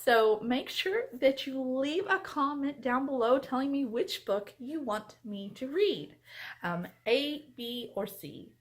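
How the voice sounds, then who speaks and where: A woman with a slightly high voice speaks as a book vlogger. Her delivery is animated and expressive, with very lively intonation, moving easily between casual chat and storytelling from novels. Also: slow. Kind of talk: chatty vlog with expressive read-aloud book excerpts.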